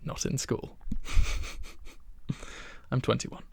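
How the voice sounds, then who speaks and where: A man of twenty-one chatting in a soft-spoken ASMR style in his bedroom, rambling and self-deprecating with soft laughs, close to the microphone. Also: drawn-out words, dry recording. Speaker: ASMR creator.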